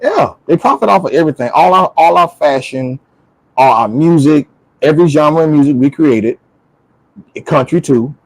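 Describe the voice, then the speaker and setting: A man's voice: a little high, animated, normal speed, no echo, poor audio. Man talking emphatically in casual conversation. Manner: emphatic, repeats phrases for stress. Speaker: talk show speaker.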